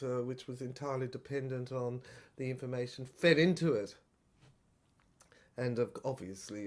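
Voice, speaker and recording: A man's voice: mid-range voice, a little mellow, natural voice. A man playing a smug, dithering government official in a dystopian audio drama, rambling pompously with many stammers and mock-genteel turns of phrase. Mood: rambling, dismissive. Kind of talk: improvised-sounding audio drama monologue.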